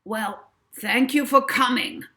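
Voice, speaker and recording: A woman's voice: fairly high pitch, slow pace, sharp tone, voice pushed up, heavily acted. A woman voicing a brash, treacly male showbiz agent in an audiobook, gushing and slangy. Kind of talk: audiobook character voice.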